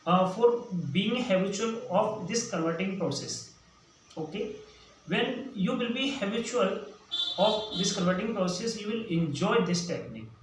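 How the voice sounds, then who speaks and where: A high-voiced man with an Indian accent, a Hindi native speaker, teaches English in a slow, hesitant way, full of "uh" and restarts. He sits away from the mic in a very echoey room. A faint hiss runs under his voice. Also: recorded from a distance. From central India. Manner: hesitant, many fillers and restarts. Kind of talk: spoken English lesson.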